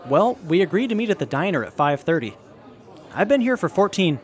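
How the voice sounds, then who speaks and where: An earnest young tinkerer explains things eagerly. His voice sits fairly high and moves around a lot in pitch. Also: big pitch swings, a little fast. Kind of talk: radio drama acting.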